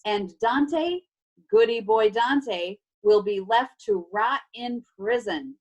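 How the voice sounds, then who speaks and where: A woman's voice: fairly high pitch, slow, highs cut off. A woman voicing a menacing, boastful, greedy male villain in a storybook read-aloud. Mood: gloating. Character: sinister gloating male villain, growling and greedy.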